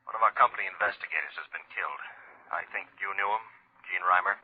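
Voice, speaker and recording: Through a tinny phone filter comes a grave American insurance boss in an old radio drama. The voice is very deep, husky and hurried. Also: male voice. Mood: grave, urgent. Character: grave male insurance company boss on the telephone. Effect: telephone filter. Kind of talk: 1950s radio drama acting.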